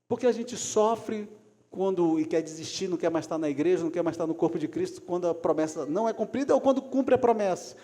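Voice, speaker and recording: A man preaches in a church hall, his voice sitting a little high but sounding mellow and soft-edged. He swings from loud calls to hushed asides. A long hall reverb trails after every phrase. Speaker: pastor. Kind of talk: sermon.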